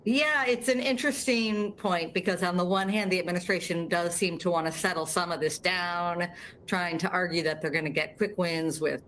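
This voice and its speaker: A woman policy strategist answering a news interview in a measured, analytical way with frequent fillers. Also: lowish voice, a bit bright, a little hiss or hum, rough recording. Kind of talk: broadcast interview.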